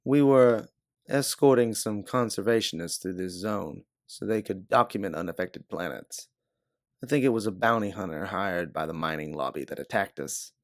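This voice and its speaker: A man's voice: low voice, moderate pace, no echo, studio quality, close to an everyday voice. A man voicing a weary, candid stranded ex-pilot in a science fiction audiobook. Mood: reminiscing. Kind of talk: audiobook character dialogue.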